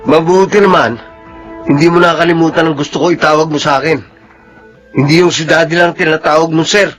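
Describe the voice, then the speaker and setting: An actor in a radio drama playing a cruel, accusing master of the house, menacing and contemptuous. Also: male voice, on the high side, fairly even intonation, brisk, a bit bright, faint music behind, lightly acted.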